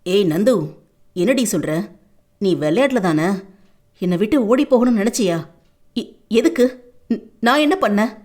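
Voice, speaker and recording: A woman voicing a young man, an anxious, devoted lover, in a lower pitch with earnest pleading. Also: lively intonation, a little slow, long pauses, darkish tone.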